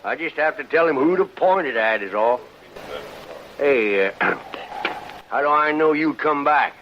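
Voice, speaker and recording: A man's voice: fairly high pitch, lively intonation, measured pace. An actor playing a wary, greedy frontier man with a rural Western American drawl in a 1950s radio western. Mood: wary bargaining. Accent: rural American West. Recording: muffled, noisy vintage radio recording.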